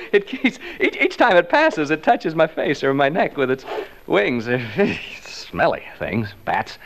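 An actor playing a nervous DJ alone in a haunted house, chatty and stammering, in an old radio drama. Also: male voice, medium pitch, a bit bright. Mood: jittery. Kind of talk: 1940s radio drama acting, on-location monologue. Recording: old radio recording, faint noise.

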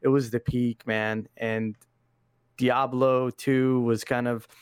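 A man's voice: medium pitch, flat delivery, slow, smooth, no echo, clean studio sound. Laid-back slangy young man. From Iraq. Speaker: podcast guest, gamer. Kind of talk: casual interview chat.

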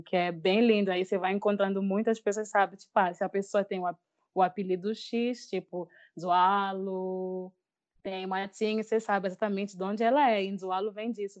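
A woman of twenty-six from Mozambique with a Mozambican accent, telling personal stories in a casual, chatty way as a podcast guest. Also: medium pitch. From Mozambique, raised in Maputo. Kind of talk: casual personal storytelling in an interview. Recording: slightly dull lo-fi audio.

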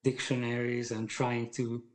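A man's voice: medium pitch, completely flat delivery, unhurried. A man in his forties from Hungary, talking thoughtfully as a podcast guest, hesitating and restarting often. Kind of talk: casual conversation.

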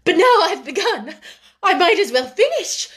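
A woman's voice: very high voice, big pitch swings, normal speed, pitched above the performer's own voice. A woman voicing a timid middle-aged younger sister in a storybook, who speaks out in emphatic, breathless, hysterical distress. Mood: reckless courage.

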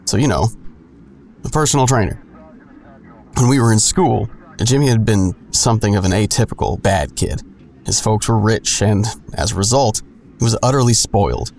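A man's voice: on the low side, lively intonation, moderate pace, darkish tone, slight background noise. An actor playing a wry, world-weary narrator in an audio drama, telling his story in dry, sardonic asides. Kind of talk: first-person noir-like audio drama narration.

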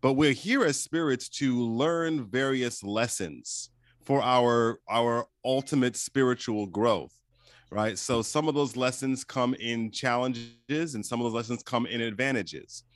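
A man's voice: medium pitch, a little slow, no room sound. Candid man in his forties, dry sound. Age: 40s. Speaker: conversation partner. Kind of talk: casual chat.

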